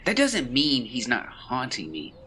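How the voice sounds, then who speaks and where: A man's voice: mid-range voice, huge pitch swings, a little slow, sharp tone, a little hiss or hum, full character voice. An actor playing a brooding, guilt-ridden man of the 1920s, solemnly and formally reading out his final confession in an audio drama. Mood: mournful, guilty.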